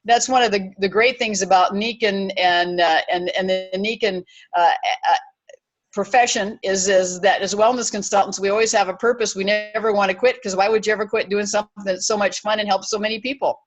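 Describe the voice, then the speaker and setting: A woman's voice: medium pitch, fairly even intonation, fairly quick, faint music behind. A woman in her sixties, a wellness consultant talking casually on a panel, chatty and often restarting her sentences. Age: 60s. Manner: chatty, with frequent restarts and fillers.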